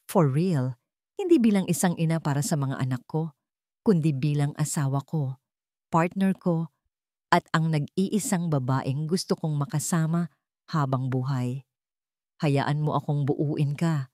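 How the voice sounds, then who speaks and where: A woman's voice: low-pitched, a little slow, long pauses, a little mellow, no room sound, clean studio sound. A woman voicing a remorseful billionaire husband who pleads sincerely in a romance audio story. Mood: earnest, hopeful.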